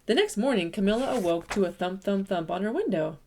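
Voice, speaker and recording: Expressive woman reading a picture book aloud. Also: mid-range voice, brisk, a little hiss or hum. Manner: warm, expressive storytelling with lively emphasis. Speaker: children's story reader.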